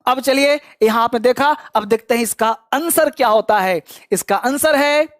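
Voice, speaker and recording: A man teaching an online spoken English lesson, quizzing his students in a brisk, teasing and scolding way. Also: extremely high pitch, fairly bright tone, dry recording, studio quality.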